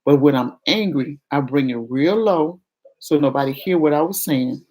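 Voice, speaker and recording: Frank woman with a deep, projecting voice. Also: moderate pace, mellow. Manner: frank and confessional, addresses viewers as y'all. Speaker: vlogger. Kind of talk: candid casual talk to viewers.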